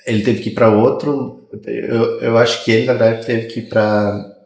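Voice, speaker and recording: A man's voice: mid-range voice, flat delivery, darkish tone. Brazilian man in a very echoey room. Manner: chatty, halting, sprinkles in English words. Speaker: guest immigration consultant. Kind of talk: casual podcast conversation.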